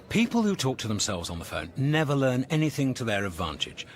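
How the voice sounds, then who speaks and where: A man's voice: mid-range voice, sing-song, normal speed, heavy background noise, lightly acted. An actor playing a breezy, streetwise alien traveller in a radio comedy, wry, emphatic and impatient with his human friend. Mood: weary, sardonic. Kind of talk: radio comedy drama acting.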